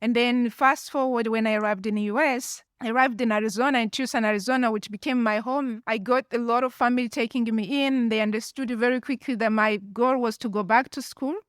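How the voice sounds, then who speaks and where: A woman's voice: on the high side, steady tone, brisk, few pauses, no echo, clean studio sound. A woman from Rwanda answering interview questions in an earnest, conversational way with frequent restarts, recorded live at a conference. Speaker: guest, founder of a women's investment firm.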